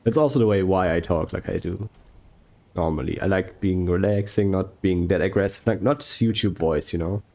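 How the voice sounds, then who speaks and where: Young male gaming commentator, mellow tone, slow soft-spoken rambling delivery. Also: sits low, vocal fry. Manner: rambling with fillers and self-corrections. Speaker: gaming YouTuber. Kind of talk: relaxed gameplay commentary.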